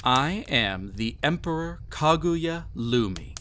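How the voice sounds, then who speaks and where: A man's voice: fairly high pitch, animated, fairly bright tone, slight background noise. A man playing a cruel, arrogant emperor in a scripted audio roleplay, speaking in a formal, mocking, condescending way. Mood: haughty pride. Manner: haughty, formal, mocking, deliberate theatrical phrasing. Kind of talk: scripted audio roleplay, villain monologue addressed to listener.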